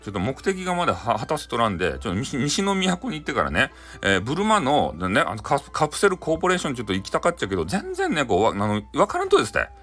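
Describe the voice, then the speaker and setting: A man's voice: mid-range voice, fairly bright tone. A man with a Hakata accent playfully retelling a folktale in dialect, improvising as he goes and throwing in sound effects. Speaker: storyteller.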